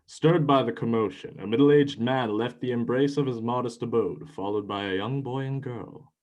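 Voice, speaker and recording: Medium-pitched male storyteller. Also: normal speed, a little mellow. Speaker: narrator. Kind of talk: scripted dramatic storytelling narration.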